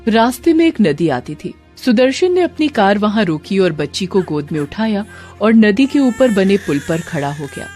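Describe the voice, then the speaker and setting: A woman's voice: mid-range voice, very animated, normal speed, mellow, faint music behind. A woman narrator delivering dramatic, scripted storytelling for a cartoon.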